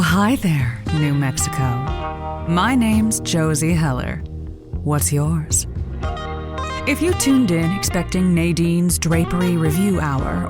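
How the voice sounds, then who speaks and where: A novice late-night radio hostess for an audio comedy. Her voice is fairly low for a woman and unhurried. She leans grandiose and theatrical, with a flustered, nervous edge. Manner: flowery, grandiose on air, stammering when nervous. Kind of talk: audio comedy drama acting.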